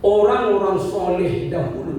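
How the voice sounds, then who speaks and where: Man, 50s, preacher, rhetorical questions, gentle humor, distant mic, very echoey hall, faint room noise. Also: high voice, a little slow. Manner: rhetorical questions, repeats key points, light jokes with the audience. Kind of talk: religious lecture.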